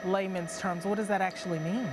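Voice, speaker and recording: Female anchor, medium pitch, conversational live questioning during breaking news, noticeable noise underneath. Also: steady tone, a little fast. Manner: conversational, ad-libbed phrasing.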